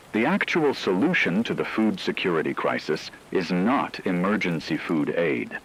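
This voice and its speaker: A man reading a scripted emergency radio broadcast in a grave, measured, formal manner. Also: sits low, slight background noise, poor audio.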